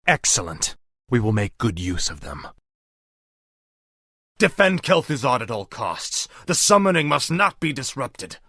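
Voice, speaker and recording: Raspy, forceful young death knight. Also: male voice, medium pitch, sing-song, a little slow, long pauses, dry recording, studio quality, heavily acted. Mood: commanding. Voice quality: raspy, gravelly, hard-edged. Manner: curt, forceful commander. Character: grim young male death knight, commanding, impatient. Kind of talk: dramatic fantasy game acting.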